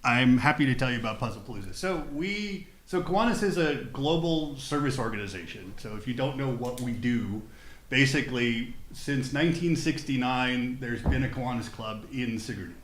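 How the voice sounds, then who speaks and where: A man's voice: medium pitch, expressive, moderate pace, reverberant. A man appearing as a guest on a local morning show, explaining his service club's work in a friendly way. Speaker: guest, service club member. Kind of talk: casual interview.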